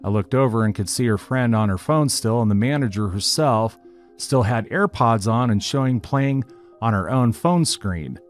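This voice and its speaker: A man narrating a written online story in a steady, even reading style. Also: mid-range voice, normal speed. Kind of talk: scripted narration of a reddit post. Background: faint background music.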